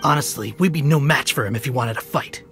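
A man's voice: medium pitch, fast, fairly bright tone, faint music behind, lightly acted. An actor playing a cautious male bandit henchman in a video game, doubtful and wary.

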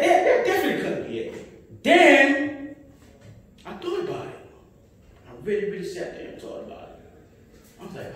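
Young NYC stand-up, drawling slow, heard from the back of an echoey club. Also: male voice, high-pitched. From Brooklyn, New York. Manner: slow, rambling delivery with many asides and repeated "right?". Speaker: stand-up comedian. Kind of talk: open-mic stand-up set. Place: small club stage with tiled wall, indoors. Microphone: handheld mic, filmed by a far camera. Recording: echoey room from far off.

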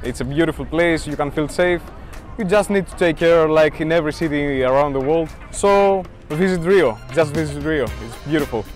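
A man's voice: fairly high pitch, normal speed, faint music behind. A man from Greece with a Greek accent, chatting friendly and a bit hesitantly in an interview on a beach with a lapel mic. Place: outdoors on a sunny beach.

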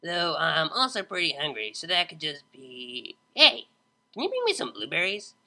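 A woman's voice: low-pitched, huge pitch swings, bright, forceful tone, exaggerated voice. A woman doing a drunk, drawling unicorn DJ. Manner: slow, drawling slang. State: drunk, slurring. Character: drunk young female unicorn DJ, laid-back.

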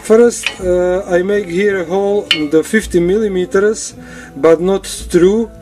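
Man, non-native English, halting with many fillers, slightly high voice, home workshop, camera mic, faint background music. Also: steady tone, warm tone. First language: not English. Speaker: woodworking hobbyist showing his drill press. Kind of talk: casual workshop walkthrough. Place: home woodshop, indoors.

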